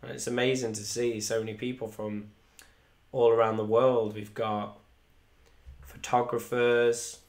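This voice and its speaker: Young male musician hosting a live stream, rambling and chatty, fairly monotone, desk mic at home. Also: mid-range voice, unhurried. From Wales. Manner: chatty, rambling, reads viewer comments aloud. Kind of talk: casual live stream chat. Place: small room indoors at home. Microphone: desk microphone in front.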